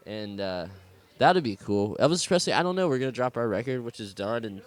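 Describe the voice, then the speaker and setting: A man's voice: mid-range voice, very animated, fairly quick. A young man with a Southern US accent, talking casually and ramblingly in an interview about his music, swearing now and then. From American South. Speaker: musician guest.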